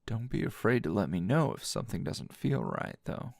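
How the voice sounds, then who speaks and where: A man's voice: fairly low pitch, big pitch swings, moderate pace, creaky, no echo, clean studio sound, heavily acted. A man playing a teasing, dominant, seasoned anime hero as a tender lover in an intimate ASMR roleplay, murmuring breathily and slipping into whispers. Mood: teasing, commanding.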